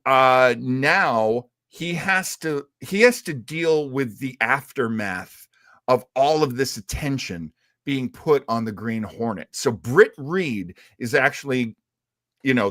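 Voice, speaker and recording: A man's voice: medium pitch, a bit bright, dry recording, clean studio sound. A man co-hosting a retro radio show, chatting casually and enthusiastically in a rambling fan style. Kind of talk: casual live-stream chat about old radio serials.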